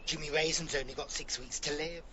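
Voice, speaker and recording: An actor playing an awkward, chatty, well-meaning younger English sidekick, hesitant and cheeky, in a radio comedy-drama. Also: male voice, fairly high pitch, steady tone, fairly quick, muffled recording, a crowd in the background, lightly acted. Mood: cheeky, apologetic.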